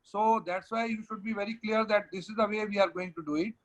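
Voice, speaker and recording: An Indian man with a fairly high voice hosts an online webinar, talking fast and moving briskly from point to point. He explains a virtual trade fair and steers a colleague through a live walkthrough. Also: fairly even intonation, rough recording. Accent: Indian English. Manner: directs a colleague, switches between English and Hindi. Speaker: webinar host from the organizing body.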